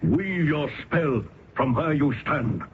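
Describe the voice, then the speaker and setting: An actor playing a stern male temple priest who guards the gate and speaks in formal, archaic commands, on a tinny old radio recording with loud hiss. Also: on the high side, animated, takes it slowly. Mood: hostile.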